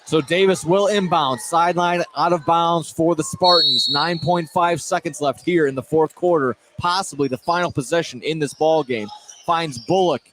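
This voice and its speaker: Guy doing hoops play by play, kinda high voice, excited and dramatic, noisy gym behind him. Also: normal speed. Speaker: play-by-play commentator. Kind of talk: live high school basketball commentary. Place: indoor school field house. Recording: noisy live gym broadcast. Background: loud crowd and gym noise.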